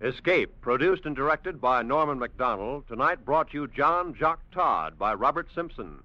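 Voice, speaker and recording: Animated American old-time radio announcer, male. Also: mid-range voice, moderate pace. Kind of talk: 1950s scripted radio announcing. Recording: muffled vintage radio broadcast. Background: music.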